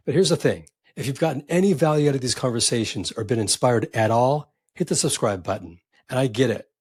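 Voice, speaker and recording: A man's voice: mid-range voice, darkish tone, husky, dry recording, clean studio sound. A man hosting a startup interview podcast, reading an upbeat intro and asking friendly questions. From Silicon Valley, California. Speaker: podcast host.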